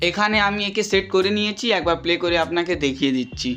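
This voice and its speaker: A man's voice: sits high, fast, sharp tone, soft background music. A man giving a step-by-step screen tutorial as instructor, mixing English words and connectives into his talk.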